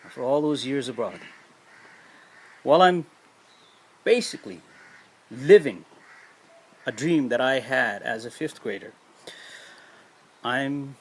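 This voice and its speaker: Husky Nepali-accented man, emphatic speaker. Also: mid-range voice, expressive, slow, pauses often. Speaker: education activist, motivational speaker. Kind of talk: earnest motivational speech.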